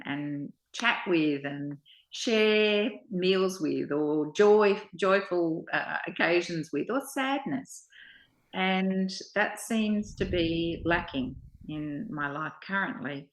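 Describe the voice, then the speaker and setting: A low-pitched woman speaks very slowly, sharing personal reflections. Her phrasing is halting and thoughtful, and the sound is roomy and echoing.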